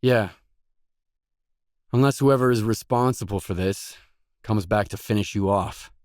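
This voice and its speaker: An actor voicing a gruff, guarded stalker mercenary in a video game, blunt, terse and no-nonsense. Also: male voice, fairly low pitch, a little slow, a little mellow, no echo, clean studio sound, natural voice. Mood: guarded, matter-of-fact. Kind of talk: video game dialogue lines.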